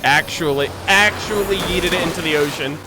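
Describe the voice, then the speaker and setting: A man streaming a game with casual live reactions, speaking in a slangy, expressive, exclamatory way. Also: a little high, moderate pace, rough recording. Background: game music.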